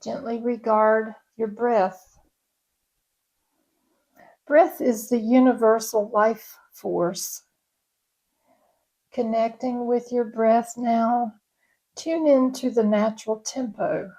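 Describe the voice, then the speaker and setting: A woman yoga nidra instructor leading a guided meditation in a gentle, soothing, unhurried way. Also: on the high side, steady tone, low-quality recording.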